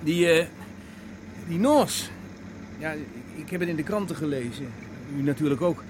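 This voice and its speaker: A man's voice: fairly high pitch, normal speed, lightly acted. An actor playing a nervous, defensive executioner in a radio play, hesitant and stammering as he justifies himself. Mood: grim, uneasy. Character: nervous, defensive executioner, a lonely drinking outcast. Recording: noisy radio play recording, car sounds. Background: car engine and road noise.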